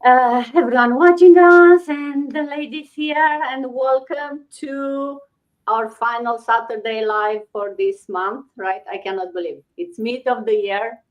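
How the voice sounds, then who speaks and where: A woman's voice: fairly high pitch, measured pace. A woman from Romania hosting a casual live stream, chatting loosely with fillers and restarts. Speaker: host. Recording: dull lo-fi stream audio.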